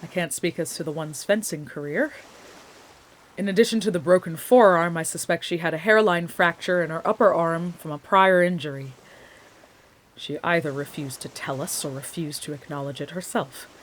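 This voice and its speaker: An actress playing a composed young woman healer in a fantasy audio drama, speaking in formal, measured wording. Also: on the low side, lightly acted. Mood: matter-of-fact.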